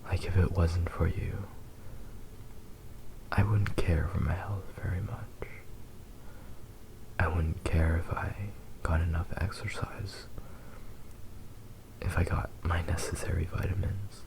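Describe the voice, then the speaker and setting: A man acts out a possessive fox-boy lover in an intimate ASMR roleplay. He whispers very low with a crackly, gravelly edge, taking his time. The delivery is tender and soothing, obsessively devoted to the listener. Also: fairly even intonation, takes it slowly, long pauses, heavily acted. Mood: devoted, adoring.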